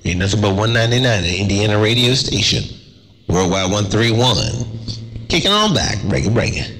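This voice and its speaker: A man chatting on CB radio from a desert station, laid-back and drawling, with a slightly raspy voice from a cold. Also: lowish voice, big pitch swings, a little fast, big-room sound, very noisy. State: has a cold. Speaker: CB radio operator.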